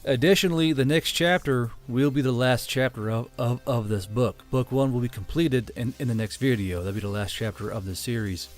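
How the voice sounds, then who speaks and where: A man's voice: medium pitch, a little fast, few pauses, faint music behind. A man hosting a channel, talking casually off the cuff and stumbling over his words. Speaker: channel host. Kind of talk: casual unscripted chat to viewers.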